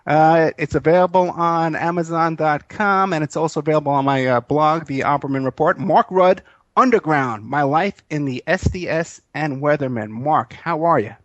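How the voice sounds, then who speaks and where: A man's voice: on the high side, normal speed, no echo, dull audio. Chatty fifties male radio host, dry mic. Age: 50s. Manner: casual, rambling, many fillers. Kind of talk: live internet radio interview.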